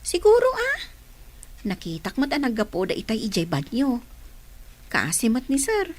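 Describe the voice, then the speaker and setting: An industrious aunt acted by a woman in an audio drama. The voice is high and moves about a lot, with an Ilocano accent. The manner is plain-spoken. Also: huge pitch swings, normal speed, noisy background. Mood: earnest. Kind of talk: radio-style drama dialogue.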